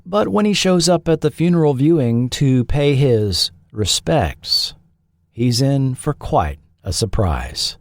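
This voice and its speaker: A man hosting a show, reading scripted TV history narration in a measured, deliberate way with pauses between phrases. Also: mid-range voice, sing-song, dry recording. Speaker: podcast host and narrator.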